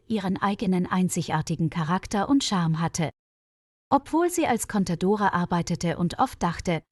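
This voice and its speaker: A woman narrates a scripted story for language learners. Her delivery is measured and careful, at a medium pitch. The sound is dry, with no room around the voice. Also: darkish tone, no room sound. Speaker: narrator of German learner stories.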